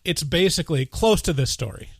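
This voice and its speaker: A man with a slightly high voice talks through a film in easygoing podcast chat, leaning on "like" and "you know" and laughing between points. The sound is dry and close, with no room around it. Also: expressive, fairly quick, husky, no echo. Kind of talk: casual movie review chat.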